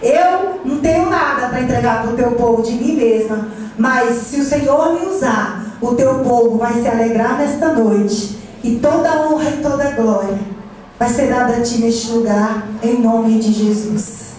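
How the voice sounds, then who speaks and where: A woman's voice: a little high, unhurried, a little mellow, slight background noise. A woman pastor from Ceará with a Cearense accent preaching a fervent sermon, leading call-and-response and drifting into sung phrases. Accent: Cearense Portuguese. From Ceará, Brazil. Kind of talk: Pentecostal sermon. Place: large church hall. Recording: echoey church hall from far off. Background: music and congregation.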